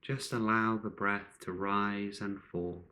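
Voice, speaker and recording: A man leading a guided meditation in calm, measured short phrases with long pauses between them. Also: on the low side, poor audio.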